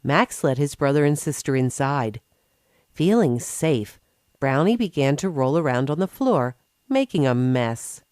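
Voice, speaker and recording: A woman reads a children's story in a low voice. Her delivery is very slow and richly melodic, and the recording is dry and close. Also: animated, darkish tone, no echo. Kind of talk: scripted children's story narration.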